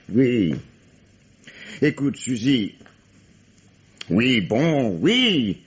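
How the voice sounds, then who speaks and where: An actor playing a gruff, exasperated middle-aged police lieutenant in a vintage French radio drama, curt and sardonic. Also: male voice, mid-range voice. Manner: curt, sardonic, hesitant when flustered. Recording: dull vintage radio recording.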